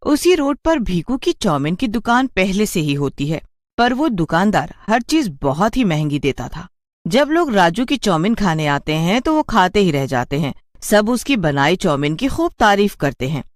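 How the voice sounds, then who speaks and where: An expressive narrator tells a scripted cartoon tale. The pitch is moderate but moves around a great deal from phrase to phrase. The recording is dry, with no audible room. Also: normal speed, no echo. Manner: expressive storytelling.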